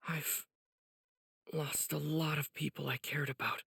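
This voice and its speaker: A man voicing an earnest, determined teenage boy swordsman in an English anime video game dub, with a breathy, husky voice. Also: on the high side, level tone, unhurried, pushed and bright, no echo, studio quality, full character voice. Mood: sorrowful. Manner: earnest, breathy inner monologue bursting into exclamations.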